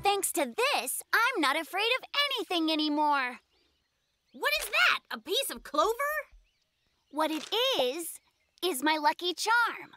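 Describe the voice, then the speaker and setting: A performer voicing an excitable, boastful young bear cub in a children's cartoon, with a theatrical showman's delivery and music playing behind. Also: sits high, unhurried. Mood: insistent. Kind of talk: animated children's cartoon dialogue.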